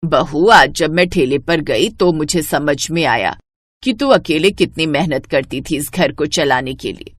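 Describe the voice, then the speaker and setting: A woman's voice: low voice, measured pace, no room sound, voice pushed down. Woman voicing an eager old mother-in-law street hawker. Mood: tender. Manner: sing-song street vendor calls. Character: old village mother-in-law, kindly, eager food-cart seller.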